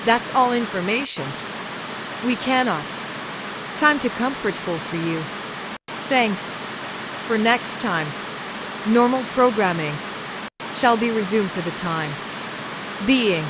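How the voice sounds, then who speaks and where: A woman's voice: fairly high pitch, lively intonation. A woman reading a scripted emergency broadcast announcement as its announcer. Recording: loud hiss and rushing noise throughout.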